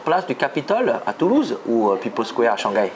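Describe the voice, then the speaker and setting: A man's voice: medium pitch, wildly swooping pitch, a little fast, a little hiss or hum. A man from China, a native Chinese speaker, presenting and interviewing in a scripted style. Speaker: host and interviewer.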